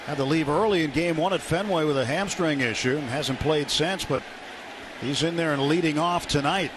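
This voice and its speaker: American male baseball radio announcer, fairly high voice, clipped descriptive phrasing, clearly noisy ballpark broadcast. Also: moderate pace. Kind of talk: live sports commentary. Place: outdoor ballpark broadcast booth.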